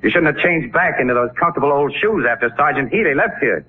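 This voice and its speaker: An actor playing a sharp, persistent newspaper photographer sleuth in a 1940s radio drama, talking in a casual, clipped, probing way. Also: male voice, a little high, lively intonation, fast, husky. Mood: accusing. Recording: muffled, noisy 1940s radio recording.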